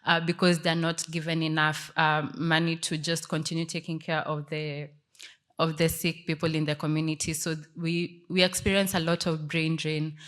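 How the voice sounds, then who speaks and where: A woman's voice: sits low, level tone, moderate pace, fairly bright tone. A woman from Kenya with a Kenyan accent, giving a conference presentation as a panelist. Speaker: panelist, tech community manager presenting.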